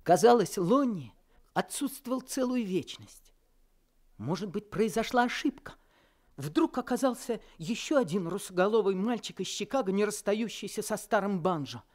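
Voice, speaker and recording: An earnest, emotional teenage boy narrates his own story. The pitch moves in a lively way. The delivery is from a classic radio play. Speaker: narrator and main character.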